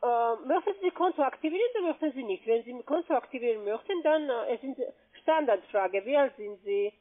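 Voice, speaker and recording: A woman's voice: fairly high pitch, expressive, a little fast. A woman who is not a native German speaker, making an insistent call-centre sales call in broken grammar over the telephone. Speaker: scam call centre agent. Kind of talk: cold-call sales pitch. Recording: muffled narrowband phone line.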